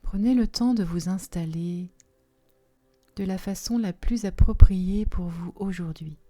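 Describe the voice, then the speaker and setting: A woman guides a deep relaxation session in a soft, soothing manner. Her voice is fairly low and very dark and mellow. She speaks very slowly, leaving long pauses between phrases. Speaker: yoga nidra relaxation guide. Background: soft music.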